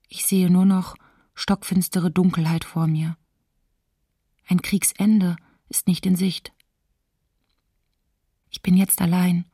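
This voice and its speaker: A woman's voice: lowish voice, mellow, breathy edge, no room sound, studio quality. An actress playing a lonely, fearful woman diarist in wartime winter, sombre and restrained, in a documentary radio play. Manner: sombre, restrained, short plain sentences.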